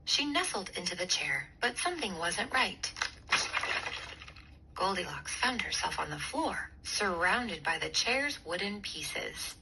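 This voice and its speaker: A woman storyteller reading a children's bedtime story aloud with expressive, clear phrasing. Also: lowish voice, a little slow, poor audio. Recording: clear background noise.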